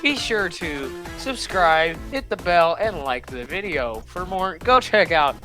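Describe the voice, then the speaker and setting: Male reaction host, informal chatter, bright forceful tone, lively pitch swings. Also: measured pace, faint music behind. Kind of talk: casual commentary.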